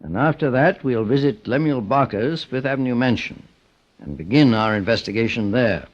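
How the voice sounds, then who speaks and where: An American actor playing a calm, formal, authoritative gentleman detective in an old-time radio drama, speaking in a measured, deliberate way. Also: mid-range voice, a little hiss or hum, natural voice. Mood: thoughtful. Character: calm, authoritative gentleman private investigator, the boss. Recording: muffled old radio broadcast.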